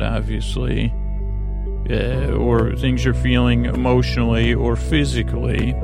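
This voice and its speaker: A man hosting a bedtime podcast in a creaky, soothing voice, meandering hesitantly through tangents and interrupting himself. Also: medium pitch. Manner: soothing, lulling. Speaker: podcast host. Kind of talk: rambling bedtime monologue meant to lull to sleep.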